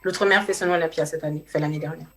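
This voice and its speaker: A woman's voice: low voice, steady tone, racing, a bit bright. A woman from Martinique with a Martinican accent, chatting casually as a guest singer in a radio studio interview.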